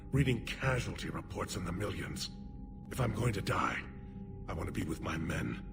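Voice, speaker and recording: Solemn, weary alien commander, fairly low voice, music under. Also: male voice. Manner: solemn, grave, dignified. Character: weary alien military leader, male, grave. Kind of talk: video game voice acting. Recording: game cutscene with music.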